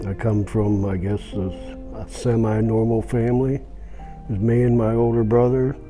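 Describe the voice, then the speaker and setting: A man telling his recovery story in a halting, reflective way, trailing off between thoughts. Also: fairly low pitch, level tone. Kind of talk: personal recovery testimonial. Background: soft guitar music.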